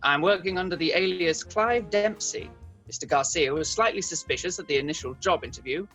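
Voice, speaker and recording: An actor playing a bumbling, pompous undercover detective, narrating his log deadpan in a comic radio play. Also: mid-range voice, brisk, soft background music, lightly acted, rough recording. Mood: earnest deadpan.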